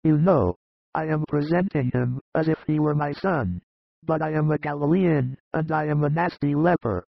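A man voicing a warm-hearted, plain-spoken male disciple with a fatherly, earnest manner, in an audiobook reading. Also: on the high side, normal speed, gravelly, no echo, lo-fi sound, voice pushed up. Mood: pleading. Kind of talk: audiobook reading of a religious narrative.

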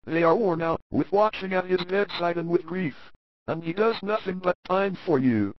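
An anxious, humble villager speaks softly and earnestly, his voice lifted high and held quiet, as a male storyteller puts him on. The sound is muffled and lo-fi, like an old audiobook. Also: normal speed, natural voice. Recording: dull lo-fi recording.

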